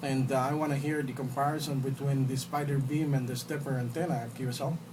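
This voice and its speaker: A man talking casually as an amateur radio operator, halting and repeating phrases, in a noisy phone recording. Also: mid-range voice, fairly even intonation, far from the mic, crowd noise. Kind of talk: casual ham radio contact. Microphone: phone recording in radio room.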